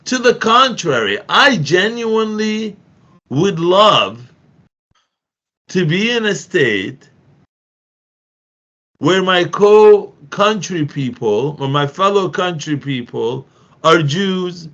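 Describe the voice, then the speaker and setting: A man's voice: high-pitched, big pitch swings, slow pace, long pauses, bright, forceful tone, dull audio. A middle-aged Palestinian man in an earnest discussion, talking emphatically with frequent restarts and repeated phrases. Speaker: activist guest.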